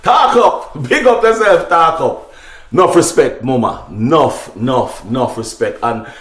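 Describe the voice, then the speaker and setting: A man's voice: a little high, roomy sound. A man with a Jamaican accent hosting casual opinion talk for his viewers, speaking emphatically and repeating phrases. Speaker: online talk show host.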